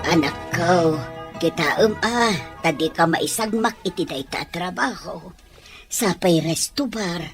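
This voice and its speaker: A woman playing a loving, worried middle-aged mother with an Ilocano accent, gently pleading in an audio drama. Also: on the low side, measured pace, music in the background, lightly acted. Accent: Ilocano Filipino. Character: worried, loving poor mother of a teenage daughter.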